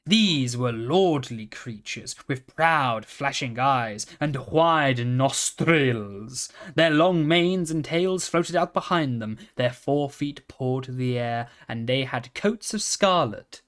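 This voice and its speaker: A man reading a children's storybook aloud in a calm, steady manner. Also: medium pitch, slow pace. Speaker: reader.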